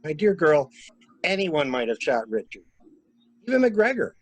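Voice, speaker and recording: A man playing a calculating murderer who poses as a helpful investigator, musing theatrically in measured phrases in an amateur mystery play. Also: on the high side, sing-song, dry recording. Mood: coolly explaining. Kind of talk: amateur radio-play style mystery acting.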